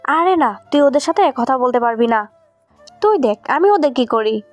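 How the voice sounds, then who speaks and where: A woman's voice: high voice, expressive, normal speed, no room sound, soft background music. A woman playing a feisty, stern schoolgirl in an audio love story. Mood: stern, scolding. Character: feisty schoolgirl, stern and scolding, quick to soften.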